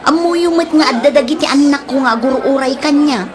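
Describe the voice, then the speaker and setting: A woman's voice: high voice, brisk, music in the background, natural voice. A woman playing a stingy, complaining daughter-in-law in an Ilocano comedy drama, with exaggerated exclamations and an Ilocano accent. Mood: defensive.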